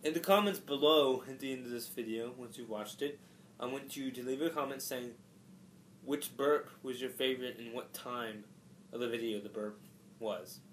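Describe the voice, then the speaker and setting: A man's voice: mid-range voice, moderate pace. A young man vlogging casually by himself, goofy and self-mocking as he rambles to his viewers. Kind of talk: casual solo vlog. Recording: echoey room with faint background noise.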